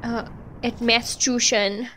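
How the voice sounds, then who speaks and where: A woman's voice: on the high side, level tone, slow. A woman playing a defiant, pleading teenage daughter in an audio drama. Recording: loud background noise.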